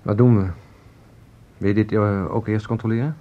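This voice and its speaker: An actor playing a sceptical, probing colleague with a husky voice in a Dutch radio play. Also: male voice, lowish voice, steady tone, normal speed, dull audio, natural voice.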